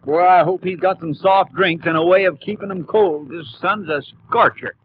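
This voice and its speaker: A man's voice: sits high, moderate pace, close to an everyday voice. A man playing an eager, folksy country sheriff in a 1950s radio western, with homespun rural phrasing. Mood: chatty. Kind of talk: 1950s radio drama acting. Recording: muffled, noisy 1950s radio recording.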